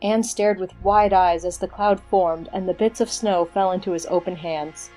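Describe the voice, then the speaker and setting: A woman's voice: on the low side, steady tone, moderate pace, dark tone, soft background music, rough recording. A woman working as a narrator, reading scripted material for an audio story production.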